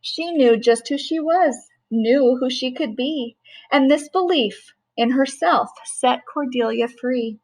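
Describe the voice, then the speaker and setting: Woman, middle-aged, high-pitched, reads rhyming picture book gently and rhythmically. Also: slow pace, low-quality recording. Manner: gentle, sing-song rhyming reading. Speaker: teacher reading a bedtime story. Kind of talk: storybook read-aloud.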